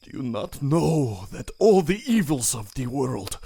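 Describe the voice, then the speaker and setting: Guy doing a scared old-world innkeeper, slow and husky, begging in a hushed voice. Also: medium pitch, sing-song, no echo, full character voice. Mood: pleading. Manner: pleading, hushed and grave. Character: fearful superstitious male village innkeeper. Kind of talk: audio drama acting.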